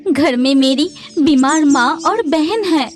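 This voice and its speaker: A woman's voice: high voice, slow. A woman voicing a humble, anxious tailor bird who is eager to please, in a children's cartoon. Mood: worried. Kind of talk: Hindi children's cartoon dialogue.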